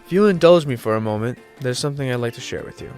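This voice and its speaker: A man's voice: medium pitch, animated, fast talker, a little mellow, faint music behind, natural voice. A sick, nasal man reading aloud the lines of a polite, formal male professor in a video game. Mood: earnest. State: sick, congested.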